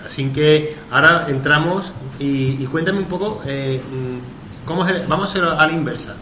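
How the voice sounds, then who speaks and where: A man's voice: on the high side, moderate pace, pushed and bright. Man hosting a radio interview. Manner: friendly, encouraging, restarts often. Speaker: radio host. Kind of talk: radio interview about wine. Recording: roomy studio with background noise.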